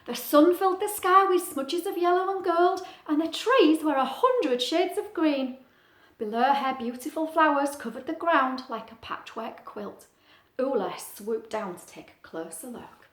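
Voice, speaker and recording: A woman reading a picture book to young children like a teacher, expressive and singsong, with chatty asides and little questions. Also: high-pitched, measured pace, reverberant.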